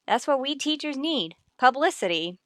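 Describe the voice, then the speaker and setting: A wry woman schoolteacher in a vintage radio comedy. Her voice is bright and fairly high, tossing out sardonic lines in a very dry studio sound. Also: expressive, normal speed, no room sound. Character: wry, wisecracking woman English teacher. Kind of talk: 1950s radio sitcom acting. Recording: old radio broadcast recording.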